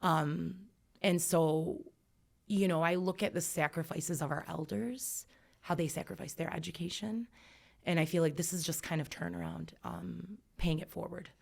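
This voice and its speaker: Low gravelly-voiced woman educator. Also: fairly even intonation, moderate pace. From United States. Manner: reflective, emphatic, many fillers. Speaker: educator guest. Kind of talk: earnest podcast conversation.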